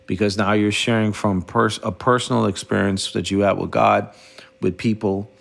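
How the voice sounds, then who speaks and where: A middle-aged man from the Bahamas speaks in a low, gravelly voice. He hosts a solo podcast and reflects earnestly, often stopping and restarting his sentences. His voice has a rough edge of vocal fry throughout. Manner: earnest, halting with frequent restarts and fillers. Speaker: podcast host.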